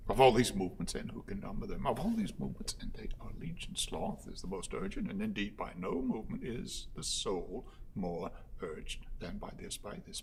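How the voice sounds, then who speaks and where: Pompous, wildly swooping orator. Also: male voice, fairly low pitch, creaky, darkish tone, a little hiss or hum, heavily acted. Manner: pompous, repetitive declaiming with sudden outbursts. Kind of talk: theatrical radio play acting.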